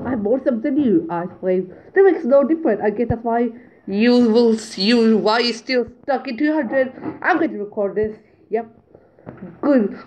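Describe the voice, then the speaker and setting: A woman reading group chat messages aloud over a screen recording, in a slow, halting way with muttered asides, on a phone mic. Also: high-pitched, lo-fi sound, a little hiss or hum. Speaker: channel owner.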